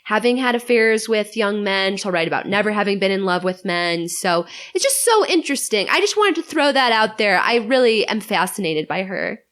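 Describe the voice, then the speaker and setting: A woman guest on a podcast, chatting casually and offering her views with expressive emphasis. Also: medium pitch, normal speed, few pauses. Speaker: podcast guest, host of her own show. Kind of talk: casual chat, opinionated discussion. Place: in-person recording around a table.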